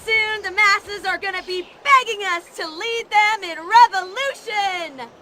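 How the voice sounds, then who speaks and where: A woman playing an excitable, devoted young hippie cultist in a video game, gushing and fervent. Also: extremely high pitch, measured pace, a little hiss or hum, full character voice. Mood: fervent, excited. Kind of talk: video game cutscene acting.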